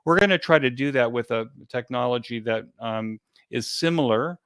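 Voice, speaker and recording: A man speaking as an interview guest in a conversational way, explaining things hesitantly with frequent pauses and repeated words. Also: medium pitch, fairly bright tone, clear, resonant tone, no room sound. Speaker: interview guest, event organizer.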